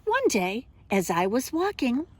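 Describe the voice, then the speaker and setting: A woman kindergarten teacher speaking simply and expressively to young children, in a deliberate storytime manner. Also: fairly high pitch, sing-song, a little hiss or hum. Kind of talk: children's storybook read-aloud and simple instruction.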